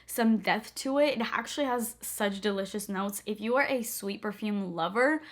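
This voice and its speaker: A young woman talks straight to camera in a bubbly, gushing way, peppering her sentences with "like". Her voice is slightly hoarse. She sounds relaxed and casual, recorded at home. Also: medium pitch, normal speed. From moved to the U.S. as a child. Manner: bubbly, gushing, many "like" fillers. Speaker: beauty vlogger. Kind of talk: casual chatty vlog.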